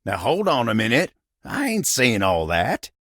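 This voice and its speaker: A man playing a gruff, proud, bashful old-fashioned wooden table in a video game, with a folksy country drawl from the American South and a grumbling, easily flustered way of talking. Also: mid-range voice, wildly swooping pitch, pushed and bright, no echo, clean studio sound, full character voice. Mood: sheepish, earnest. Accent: American Southern or rural Western drawl. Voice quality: gravelly. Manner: folksy, grumbling, flustered, halting when embarrassed. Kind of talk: video game character voice acting.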